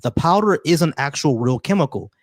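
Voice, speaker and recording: A man hosting a call-in stream, arguing quickly and repeating phrases to make his point. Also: on the high side, animated, dry recording, studio quality. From United States. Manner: rapid, argumentative, repeats phrases. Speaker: host. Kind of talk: casual debate on a call-in stream.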